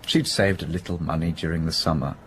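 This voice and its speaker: Well-bred gentleman, deep register, halting and contrite, radio drama, slight background noise. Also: steady tone. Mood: remorseful. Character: contrite upper-class young businessman confessing an affair. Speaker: actor in an audio drama. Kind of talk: period radio drama acting.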